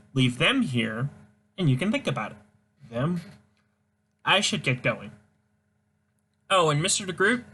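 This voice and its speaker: A man's voice: fairly high pitch, pushed and bright, breathy edge, full character voice. A man reading a comic aloud, voicing a prim young female assistant in a polite, businesslike way.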